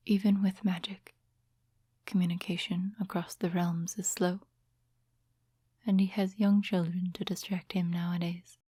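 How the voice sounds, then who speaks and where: Lady doing a soft spoken witch for asmr, whispery n mellow, calm polite n a bit mysterious, super close dry sound. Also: on the low side, breathy edge, no echo. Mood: wistful, reflective. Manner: unhurried, gentle, formal storybook phrasing. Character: soft-spoken female witch, composed, courteous, quietly mysterious night owl.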